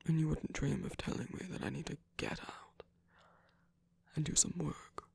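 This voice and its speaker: Man playing a husky, tender villain lover, half-whispered. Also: fairly high pitch, steady tone, full character voice. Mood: tender, reassuring. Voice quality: soft, breathy, often dropping to a whisper. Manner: slow, gentle, measured, with deliberate pauses. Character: tender, commanding male villain comforting his lover. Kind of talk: romantic ASMR roleplay audio.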